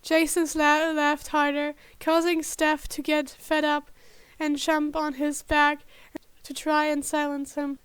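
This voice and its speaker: A woman's voice: high-pitched, completely flat delivery, slow, dry recording. A woman reading fan fiction aloud as an amateur narrator, now and then stumbling and correcting herself. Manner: stumbling over words, self-correcting. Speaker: podfic narrator.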